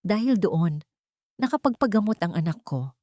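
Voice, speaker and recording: A woman's voice: medium pitch, animated, moderate pace, mellow, no echo, lightly acted. A woman voicing a kindly, worried elderly aunt from the province in an audio story, earnest and concerned. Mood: grateful, solemn.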